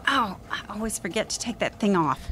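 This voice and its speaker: A woman's voice: medium pitch, lively intonation, a little fast, bright, forceful tone, slight background noise. A woman playing a wry, pushy small-town newspaper reporter in a radio drama, sardonic and nonchalant.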